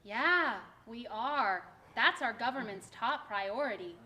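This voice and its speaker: An actress in a staged play reading, playing a brusque, sarcastic government social worker who talks in a blunt, hurried way. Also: female voice, on the high side, expressive, bright, forceful tone, heavily acted. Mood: blunt, dismissive.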